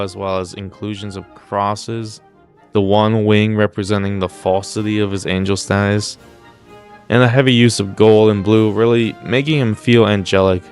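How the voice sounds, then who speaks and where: A man hosting casual comedic video commentary, mock-serious, blunt and crude with plenty of swearing. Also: fairly low pitch. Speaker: YouTube host.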